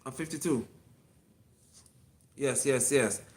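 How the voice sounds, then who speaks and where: A fifty-two-year-old man talks off the cuff on a solo livestream from his clinic. His voice sits at an ordinary middle pitch. He rambles, circles back, and repeats lines as he thanks viewers in the chat. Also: slow pace, a little mellow. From Miami. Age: 50s. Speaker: acupuncture physician and livestream host.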